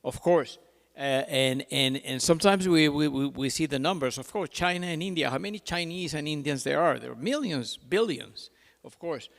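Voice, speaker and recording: A man's voice: a little high, expressive, normal speed, gravelly. A man moderating a conference panel in an informal, chatty way, often repeating his words. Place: large conference hall. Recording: reverberant hall, PA sound.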